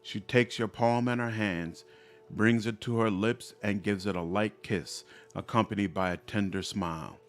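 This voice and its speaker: A man reading scripted fantasy game narration in an expressive storytelling style. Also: lowish voice, moderate pace, faint music behind.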